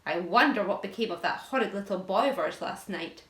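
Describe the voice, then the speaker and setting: A woman's voice: medium pitch, lively intonation, brisk, fairly bright tone, close to an everyday voice. A callous, sour aunt out of a children's storybook played by a woman reader. She drawls with grim hope, and the room rings with echo around her.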